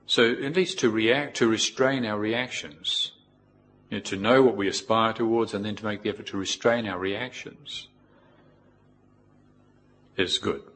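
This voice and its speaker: Low-pitched New Zealand man, reflective. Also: fairly even intonation, a bit bright, a little hiss or hum. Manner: reflective, measured with pauses. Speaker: Buddhist monk, teacher. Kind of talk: informal spoken dharma talk with personal anecdotes.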